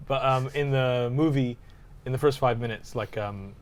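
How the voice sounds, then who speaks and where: Man, podcast co-host, mid-range pitch, casual, conversational, chuckles often. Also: normal speed, clean tone. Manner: chuckles often while talking. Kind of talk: casual chat about video game movies.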